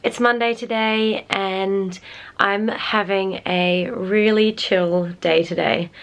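Singer vlogger in her twenties, low-energy and under the weather, husky stuffed-up voice, casual chat to camera in a bedroom. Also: fairly even intonation. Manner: slow, low-energy talk. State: sick, congested and husky. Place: small bedroom indoors. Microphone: camera held at arm's length.

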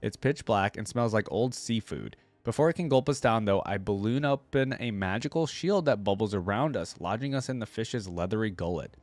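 A man's voice: fairly low pitch, expressive, moderate pace, few pauses, a little mellow, no echo, faint music behind. A young man reading a story aloud and commenting casually as a reaction video host. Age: young adult.

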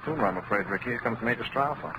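An actor playing an urbane, slippery police captain in a 1940s radio drama, dry and suave. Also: male voice, mid-range voice, racing, lightly acted. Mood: dry, wry. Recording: noisy old radio drama recording.

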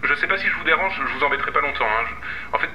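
A man's voice: a little high, animated, rapid-fire, highs cut off, over a noisy crowd, pitched above the performer's own voice, close to an everyday voice. A man playing a slimy, obsessive anonymous caller in an audio drama, hesitant and cloyingly polite. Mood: nervous, fawning. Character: creepy male anonymous caller, slimy obsessive psychopathic harasser. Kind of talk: audio fiction phone drama.

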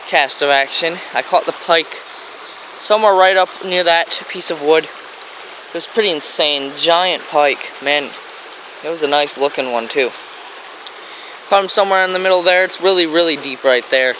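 A man's voice: on the high side, normal speed, pauses often, pushed and bright, poor audio. An American man narrating a casual fishing vlog in a rambling, enthusiastic way, recorded on a handheld camera outdoors. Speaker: fishing vlogger. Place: outdoors on a grassy riverbank. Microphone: handheld camera mic. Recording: outdoor handheld camera, stream and traffic noise.

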